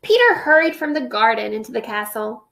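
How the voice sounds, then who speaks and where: Teacher, female, 30s, high gravelly voice, storybook read-aloud then lesson, home webcam. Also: fairly quick. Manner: expressive storybook reading, then plain classroom explaining. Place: living room at home, indoors. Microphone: webcam at close range.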